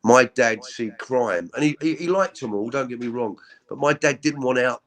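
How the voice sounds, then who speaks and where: A middle-aged man with a deep voice, telling his story as an interview guest in a halting, candid way with many repeats. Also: a bit bright. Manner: halting, repeats words, frequent ums.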